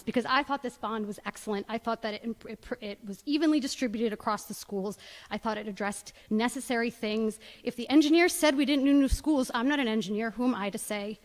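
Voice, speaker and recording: A woman speaks earnestly and appreciatively at a school board podium, her voice a little higher than most women's. A long reverb from the meeting hall follows her words. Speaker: public commenter. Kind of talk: public comment at a board meeting.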